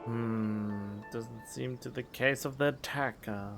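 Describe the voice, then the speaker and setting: A man's voice: mid-range voice, fairly even intonation, slow pace, vocal fry. A man doing casual commentary while playing a video game, musing aloud in an offhand, curious way. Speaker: gaming streamer. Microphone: USB condenser mic. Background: game music.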